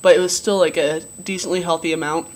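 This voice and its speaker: A young man with a relatively low voice giving a casual video diary update, rambling with many ums and likes. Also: fairly even intonation, fast, poor audio. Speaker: vlogger.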